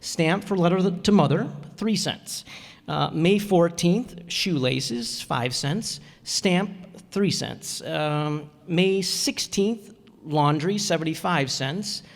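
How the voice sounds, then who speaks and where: A man's voice: on the high side, slow. Man playing a fussy, bashful fellow in echoey hall. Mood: earnest, fussy. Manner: hesitant, earnest, fussy, throat-clearing. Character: bashful, literal-minded, penny-pinching male teacher. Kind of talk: old-time radio sitcom acting before an audience. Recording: echoey hall, amateur stage performance.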